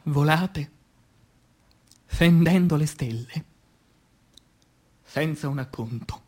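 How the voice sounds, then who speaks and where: A man reciting poetry as a stage actor, in a dramatic, declamatory and solemn manner with long pauses. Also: medium pitch, a little mellow, breathy edge. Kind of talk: dramatic theatrical poetry recitation for radio theatre.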